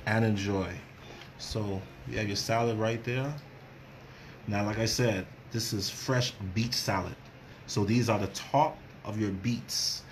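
A man's voice: on the low side, steady tone, unhurried, a little hiss or hum. A middle-aged man hosting a casual cooking demonstration, chatty and repeating phrases for emphasis. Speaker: chef and cooking show host.

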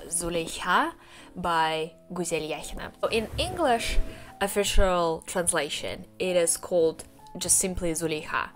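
A woman's voice: fairly low pitch, fairly bright tone. A young woman whose first language is Russian, chatting about books at home into a desk mic, with frequent ums and uhs. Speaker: book vlogger. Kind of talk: casual book talk. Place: home room with bookshelves, indoors. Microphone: desk podcast mic on a boom arm.

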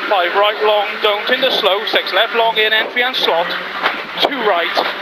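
A rally co-driver reading pace notes in clipped calls over a headset inside a car. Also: male voice, high voice. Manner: clipped rapid-fire calls. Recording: in-car intercom over loud engine. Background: loud engine and road noise.

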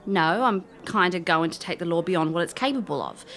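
Woman acting a cocky lady detective, lowish voice, wry and casual, audio drama. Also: brisk, a bit bright. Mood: assured. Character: cocky, wry female private investigator.

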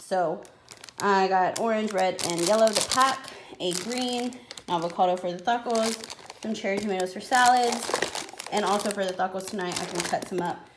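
A woman's voice: mid-range voice, normal speed. An American woman in her thirties chats informally in a rambling, explanatory way, like a family vlogger showing groceries. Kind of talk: casual grocery haul vlog chat. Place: indoor home kitchen at a counter. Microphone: handheld phone behind the camera. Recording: echoey room, crinkling package noise. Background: plastic packaging crinkles and clicks.

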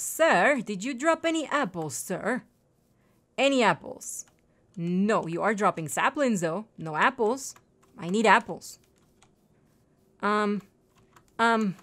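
A woman's voice: medium pitch, a bit bright. Chatty woman playing a game. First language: Spanish. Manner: chatty and apologetic, talks to viewers and to game animals and trees. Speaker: gaming channel host. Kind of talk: casual let's-play commentary.

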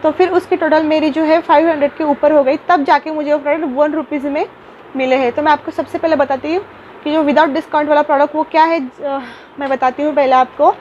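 Young woman vlogger, high-pitched, chatty with fillers and restarts, close phone mic in a small room. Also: fairly even intonation, brisk, a little mellow, dull audio, a little hiss or hum. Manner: chatty, many fillers and restarts, mixes English words into Hindi. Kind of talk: casual unboxing vlog.

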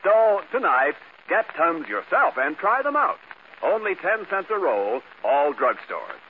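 A male announcer delivers scripted 1940s radio copy with lively swings in a slightly high voice. The old broadcast sounds muffled. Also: a little slow, a little hiss or hum.

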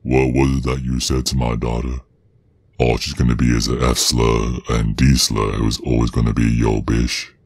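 A man voicing a menacing, protective werewolf father in an ASMR roleplay, with a Southern US accent and a very deep, gravelly, husky voice, drawling out taunts. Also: completely flat delivery, moderate pace, no echo. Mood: sadistic menace.

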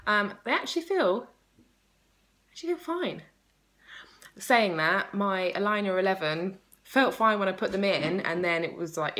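Low-pitched chatty woman vlogger. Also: a bit bright. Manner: chatty, enthusiastic. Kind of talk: casual chat to camera. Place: small room at home by a window, indoors. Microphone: phone at arm's length.

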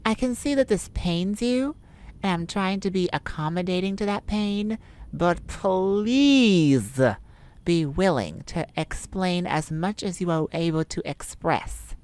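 A woman voicing a feisty, sardonic male vampire with a softer side, in a theatrical ASMR roleplay addressed to the listener. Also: fairly low pitch, takes it slowly. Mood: firm, impatient. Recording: steady rain ambience behind the voice. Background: rain falling.

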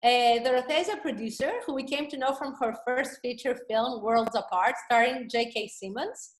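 High-voiced woman hosting in an echoey room. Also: normal speed. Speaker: event host and organizer. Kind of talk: welcoming webinar introduction. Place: small room indoors, on a video call. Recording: roomy, echoing laptop microphone.

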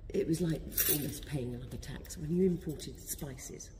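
A woman's voice: sits low, normal speed, mellow. Woman guiding in an echoing, noisy church. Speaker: church warden acting as guide. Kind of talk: informal explanation to a visitor. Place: inside a church. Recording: reverberant church, clear background noise.

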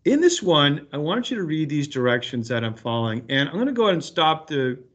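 A man's voice: a little high, very animated, a little fast, fairly bright tone. A man teaching fifth grade, explaining an assignment to pupils in an informal, reassuring way over a video call. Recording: dull lo-fi video call audio.

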